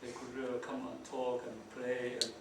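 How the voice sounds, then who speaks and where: Halting man in echoey hall. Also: medium pitch, completely flat delivery. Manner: halting, many restarts and fillers. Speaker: ESL teacher. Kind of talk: workshop presentation. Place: conference room. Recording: echoey hall, distant mic, noisy.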